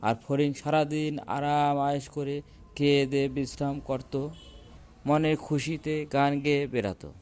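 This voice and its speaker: A man's voice: on the high side, level tone, unhurried, a little mellow, clean tone. A man reading a scripted fable as a storyteller.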